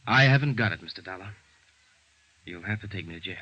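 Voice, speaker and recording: A man's voice: low voice, lively intonation, fast talker, bright, forceful tone, no room sound, exaggerated voice. An actor playing a resigned, evasive bookkeeper in his thirties in a 1950s radio drama, answering tersely. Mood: resigned, bitter. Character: resigned, evasive male bookkeeper caught embezzling, early thirties. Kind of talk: 1950s American radio acting. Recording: muffled, dry 1950s radio recording.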